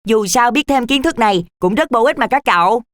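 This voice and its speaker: Young cartoon child, acted by a woman: polite, sensible, high-voiced. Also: expressive, brisk, dry recording, lightly acted. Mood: curious, upbeat.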